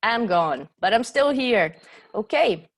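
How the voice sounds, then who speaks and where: A woman's voice: fairly high pitch, expressive, slow pace. A woman in her forties with a Glaswegian accent, hosting a live online yoga workshop in a chatty way that keeps checking in with her listeners. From Scotland. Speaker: yoga teacher and workshop host. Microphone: computer mic on a video call.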